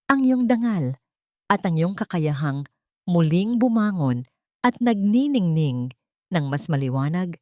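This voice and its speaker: A woman storyteller reading a scripted dramatic story in a measured, solemn and sentimental way, phrase by phrase. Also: fairly low pitch, darkish tone, no room sound, studio quality. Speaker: storyteller, narrator.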